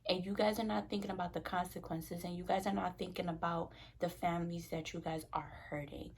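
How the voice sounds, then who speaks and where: Gravelly, husky woman in her twenties. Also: on the low side, flat delivery, fairly quick. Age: 20s. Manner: frank and passionate, loose and conversational. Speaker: vlogger. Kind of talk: casual talk to camera.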